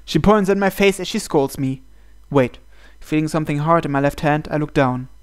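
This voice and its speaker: A man's voice: a little high, moderate pace, clear, resonant tone. A man reading visual novel narration aloud dramatically, as a let's player.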